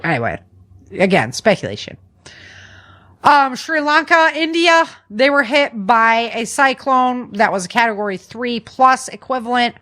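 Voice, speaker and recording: A woman's voice: fairly high pitch, sing-song, unhurried, fairly bright tone. An American woman hosting a podcast, running through news in a chatty, informal way with slangy casual commentary. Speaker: podcast host.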